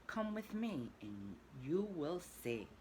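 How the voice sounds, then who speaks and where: A woman's voice: low voice, very animated, slow, a little hiss or hum, exaggerated voice. A woman doing a boastful, gushing rich toad. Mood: exuberant. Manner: loud, gushing, showy.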